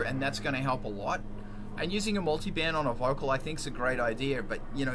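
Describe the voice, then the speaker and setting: A man's voice: medium pitch, background noise. A man hosting a casual music production panel, who talks with enthusiasm and repeats words for emphasis.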